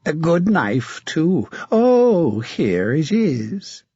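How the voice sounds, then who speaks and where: A man voicing a mad, leering middle-aged knight with a hoarse, wheedling voice. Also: fairly high pitch, very animated, very slow, dark tone, dry recording, dull audio, voice pushed up, full character voice. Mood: leering menace.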